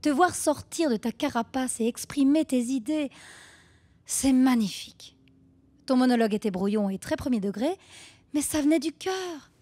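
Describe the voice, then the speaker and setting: A woman's voice: high-pitched, animated, moderate pace, dark tone. An actress playing a condescending, controlling woman who leads a talk group in a radio fiction, mock-sweet and sardonic. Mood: patronizing. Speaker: session leader.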